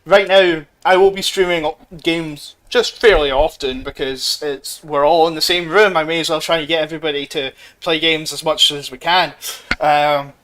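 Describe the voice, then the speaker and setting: A man's voice: on the high side, fairly even intonation, moderate pace, fairly bright tone. A man hosting his game stream from a shared room, chatting casually with wry, morbid humor and plenty of fillers. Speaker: streamer and channel host. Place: shared room at a home gaming gathering.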